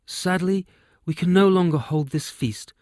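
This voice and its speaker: A man's voice: high-pitched, a little slow, a little mellow, no echo, clean studio sound, voice pushed up. A man doing a worried formal queen. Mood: commanding. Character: worried queen of a fantasy kingdom.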